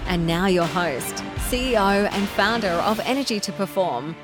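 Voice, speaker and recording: A woman's voice: mid-range voice, animated, normal speed. Woman announcer over music bed. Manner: polished promotional announcing. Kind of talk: scripted show intro over music.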